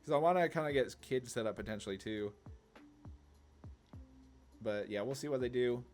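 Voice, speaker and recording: A man's voice: medium pitch, normal speed, darkish tone. Casual man thinking aloud, music behind. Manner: informal, rambling, thinking through moves aloud. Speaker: gaming content creator, player.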